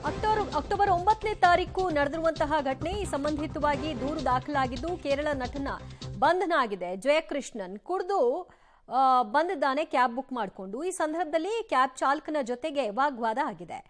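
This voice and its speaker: A woman's voice: sits high, animated, moderate pace, music in the background. A woman news anchor, presenting live news.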